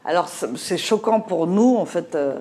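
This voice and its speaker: A woman's voice: fairly low pitch, lively intonation, moderate pace, warm tone. Hesitant woman from Morocco, slight accent. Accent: slight Moroccan French accent. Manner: hesitant, many fillers and restarts. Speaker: panel speaker. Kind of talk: discussion talk.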